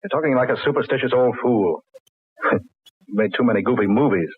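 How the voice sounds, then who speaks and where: An actor playing a brash, skeptical young film star, dismissive and slangy in old-time radio drama style. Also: male voice, mid-range voice, fairly quick, husky, close to an everyday voice. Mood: scornful. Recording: tinny, noisy old radio recording.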